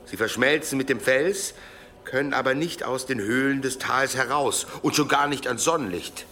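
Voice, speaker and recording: An actor playing a dutiful monk scholar, deferential and explanatory, in a radio drama. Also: male voice, mid-range voice, normal speed, sharp tone, slight background noise, lightly acted. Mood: anxious, explanatory. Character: dutiful monk scholar reporting old legends.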